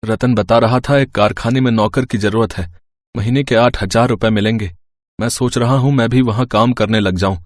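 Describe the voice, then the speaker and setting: Gentle, earnest ailing husband played by a man. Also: medium pitch, fairly even intonation, moderate pace, no room sound, studio quality, close to an everyday voice. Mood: earnest, hopeful. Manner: reassuring, earnest.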